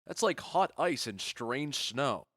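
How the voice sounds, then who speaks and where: A man's voice: medium pitch, sing-song, slow pace, fairly bright tone, no room sound, exaggerated voice. Man acting a confident, genial duke, dry studio sound. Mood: curious, amused. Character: confident, genial Athenian duke and bridegroom, skeptical of fancies. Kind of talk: audio drama acting of modernized Shakespeare.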